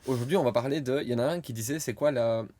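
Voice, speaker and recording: A young man co-hosting a podcast in casual chat, hesitant with many fillers and bursts of loud laughter. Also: mid-range voice, very fast, dry recording. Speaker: podcast co-host.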